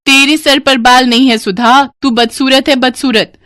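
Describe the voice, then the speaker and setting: A woman's voice: sits high, fairly even intonation, a little slow, fairly bright tone, no echo, clean studio sound, voice pushed up. A woman voicing a vain, haughty young sister who sneers cruelly, in a cartoon moral story. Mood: spiteful.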